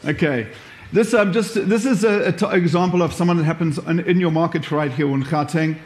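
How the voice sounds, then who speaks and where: A man's voice: a little high, a little fast. A man with a South African accent giving an informal live presentation, talking through examples as a workshop presenter. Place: echoey room, live event. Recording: echoey room sound.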